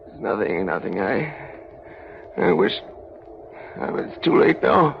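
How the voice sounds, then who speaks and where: A man's voice: lowish voice, big pitch swings, slow, creaky, slight background noise, full character voice. A man playing a frightened, remorseful old miser in an old-time radio drama, with an agitated, quavering, theatrical delivery. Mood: sorrowful. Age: older. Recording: dull old-time radio recording, music behind.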